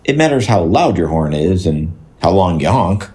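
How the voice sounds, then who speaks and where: A deep-voiced plumber talks in a halting, earnest way. His pitch swings widely as he wanders gently off the point. The delivery is natural audio drama dialogue. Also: big pitch swings, slight background noise. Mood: earnest, musing. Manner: hesitant, halting, digressive.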